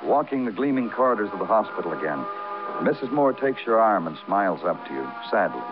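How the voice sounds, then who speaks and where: Brooding low-voiced American radio detective. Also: male voice, very animated, brisk. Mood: brooding narration. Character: world-weary male police detective, terse and brooding. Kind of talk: old-time radio crime drama acting with second-person narration. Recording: muffled vintage radio broadcast, music behind.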